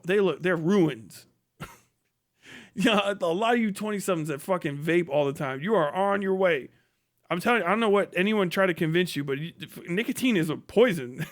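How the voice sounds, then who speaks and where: High-voiced man on a sweary rant. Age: middle-aged. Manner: blunt, mocking, heavy casual swearing. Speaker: live streamer, host. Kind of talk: profane comedic livestream rant.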